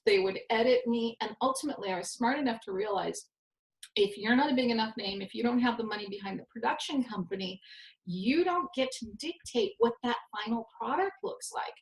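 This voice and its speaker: A woman and network host from Southern California, telling stories in a self-deprecating, animated way with a somewhat nasal voice. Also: a little high. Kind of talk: casual conversation. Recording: roomy echo.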